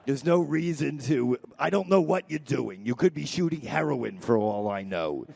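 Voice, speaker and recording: A man voicing a prim, disapproving scold who delivers a deadpan, comic lecture. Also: medium pitch, normal speed, creaky, slightly rough, heavily acted. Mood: disapproving, concerned.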